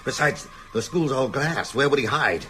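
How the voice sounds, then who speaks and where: Radio drama: ailing old schoolteacher, insistent and sceptical, very expressive melody. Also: male voice, medium pitch, normal speed. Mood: sceptical, reasoning. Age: older. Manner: insistent, reasoning aloud.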